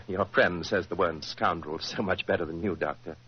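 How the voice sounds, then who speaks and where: A man acting a courtly, cunning criminal mastermind in a 1940s radio drama, polite, measured and silky. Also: sits low, lightly acted. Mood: smooth, menacing. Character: suave male criminal mastermind, courtly, cunning, coolly menacing. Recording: dull 1940s radio recording.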